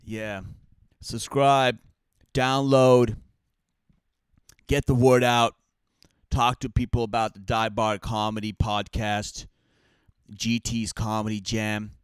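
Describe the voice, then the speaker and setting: Man, Armenian accent, lowish pitch, dry close sound, rambling comic banter, mock-boastful. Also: level tone, slow, pauses often, smooth, no echo. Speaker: comic and podcast co-host. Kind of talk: casual comedic banter.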